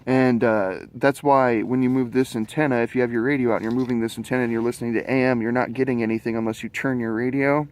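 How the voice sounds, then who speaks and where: A man's voice: medium pitch, steady tone, quick pace, hardly any pauses. Casual man reviewing gadgets. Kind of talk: casual product review, explaining to viewers.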